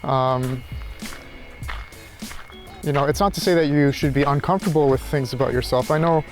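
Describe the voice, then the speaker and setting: A man rambling casually and reflectively in a halting monologue as he walks outdoors, thinking aloud. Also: mid-range voice, soft background music. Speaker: philosophy tutor vlogger. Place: outdoors, walking.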